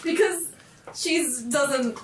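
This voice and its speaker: A woman's voice: high voice, very slow, far from the mic, a little hiss or hum. A woman chatting casually and playfully as a player in a tabletop game, recorded in a roomy space a little off the mic. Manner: playful, excitable nerdy asides. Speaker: player on a tabletop RPG podcast.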